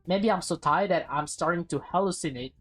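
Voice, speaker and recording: A woman reading a visual novel aloud as a let's play host, expressive and breaking into casual, offhand asides. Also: lowish voice, a little fast, soft background music.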